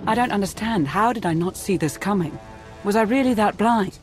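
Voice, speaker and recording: An actress playing a loyal, shrewd female intelligence chief in a dramatic video game, with a crisp, formal manner. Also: mid-range voice, brisk, soft background music, close to an everyday voice. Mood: stunned, regretful.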